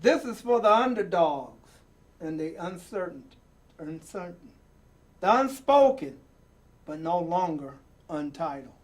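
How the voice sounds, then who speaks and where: A man with a high-set voice reads a picture book to listeners at a very slow pace. He leans on key words, pauses deliberately and repeats phrases for emphasis, then steps out of the text to add asides of his own. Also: expressive. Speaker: storybook reader and presenter. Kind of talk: expressive read-aloud of a picture book with personal asides.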